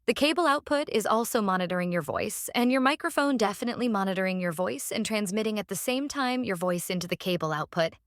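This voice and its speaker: A woman with a medium-pitched voice walks through a software setup step by step. Her delivery is casual and instructional, with frequent little check-ins to the listener. The sound is dry and close, with very little room in it. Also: lively intonation, fast talker, hardly any pauses, no echo. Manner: instructional, often checking with "right?". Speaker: tutorial host.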